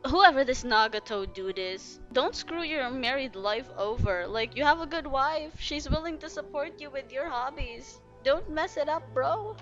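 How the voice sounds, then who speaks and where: A woman giving casual, excitable and gushing commentary while playing a story game. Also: high voice, unhurried, hardly any pauses, highs cut off. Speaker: gamer reacting to a story quest. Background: game music.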